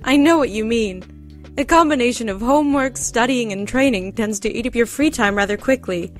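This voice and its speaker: An actress playing a poised, politely curious young huntress student who speaks formally and precisely in an audio drama. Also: female voice, a little high, a little fast, soft background music, natural voice. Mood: friendly, curious.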